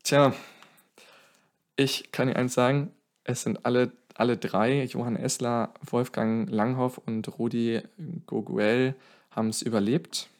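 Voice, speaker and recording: A man's voice: mid-range voice, steady tone, slow pace. A man telling a song's history in casual podcast talk, often correcting himself mid-sentence. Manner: explains at length, self-correcting, thinking aloud. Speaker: podcast co-host.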